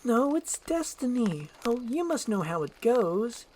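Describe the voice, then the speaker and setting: Lady doing a bossy young princess, imperious and old-timey proper, swoopy lively pitch, fairy tale script. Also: unhurried, a little hiss or hum. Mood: insistent. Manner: imperious, archaic courtly phrasing. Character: haughty, demanding young princess, formal and romantic.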